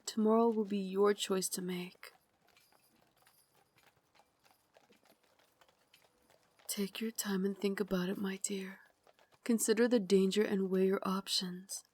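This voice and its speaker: A woman voicing a tender, guilt-ridden young Victorian vampire lady in an intimate ASMR roleplay, with formal, dramatic, confiding phrasing that slips into breathy whispers. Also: mid-range voice, fairly even intonation, moderate pace, a little mellow, lightly acted. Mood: tender, sorrowful.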